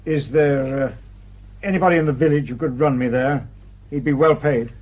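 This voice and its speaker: A British actor playing a proud, prickly detective superintendent in a radio drama, curt and dryly sardonic. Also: male voice, mid-range voice, normal speed, highs cut off, natural voice. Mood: impatient, rueful.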